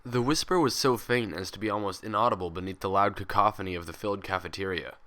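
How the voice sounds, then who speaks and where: A man reading a story aloud in an expressive, scripted narration style. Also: fairly low pitch, fast talker. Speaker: fan fiction reader.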